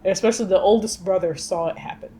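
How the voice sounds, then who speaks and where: A woman chatting casually and with strong opinions as co-host of a film review show, in animated, slangy speech with some swearing. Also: mid-range voice, fast, slight background noise.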